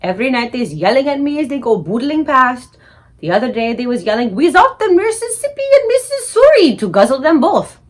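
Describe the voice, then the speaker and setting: A woman voicing a big, gentle old male giant who mangles his grammar and invents words. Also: on the high side, animated, a little fast, voice pushed up. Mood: earnest. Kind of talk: audiobook character reading.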